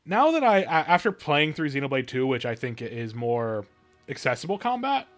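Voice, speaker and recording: A man's voice: mid-range voice, big pitch swings, moderate pace, faint music behind. A man chatting casually on a live game stream, rambling and correcting himself, with some swearing. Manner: rambling, profane, self-correcting. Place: live stream with game music and dialogue behind.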